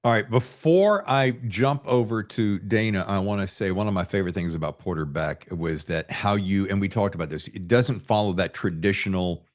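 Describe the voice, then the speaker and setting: A man's voice: low voice, moderate pace, hardly any pauses. A man hosting a casual interview podcast, chatting and musing conversationally with his guest. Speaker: podcast host.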